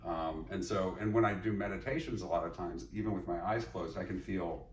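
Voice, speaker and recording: Deep-voiced man, reflective and meandering. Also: brisk. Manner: thoughtful, meandering, many fillers and restarts. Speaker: psychologist and teacher, podcast guest. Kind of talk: reflective interview conversation. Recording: echoey room, mic at a distance, faint noise.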